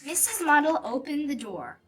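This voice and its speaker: High-pitched, animated woman reading a children's story. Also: normal speed. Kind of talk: expressive picture-book read-aloud. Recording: distant mic with music behind. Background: music.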